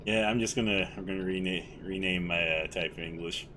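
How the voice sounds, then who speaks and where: Deep-voiced man, calm monotone streamer commentary, meandering self-corrections, humming air conditioner in background. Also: a little fast, a little hiss or hum. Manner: mellow, fairly monotone, relaxed. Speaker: game streamer. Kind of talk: casual gameplay commentary.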